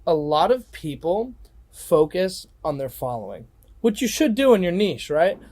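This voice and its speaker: A man's voice: high voice, big pitch swings, a little slow, darkish tone. A young man around twenty, hosting a podcast and chatting eagerly and loosely. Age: young adult. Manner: eager, loose talk with lots of "man" and "dude". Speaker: podcast host. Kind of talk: casual podcast conversation.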